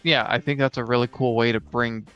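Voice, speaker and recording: Male co-host, clean resonant tone, little pitch movement, casual gaming talk, slightly lo-fi sound. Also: steady tone, brisk, a bit bright, clear, resonant tone. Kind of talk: casual discussion of tabletop role-playing games. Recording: dull lo-fi sound, music underneath.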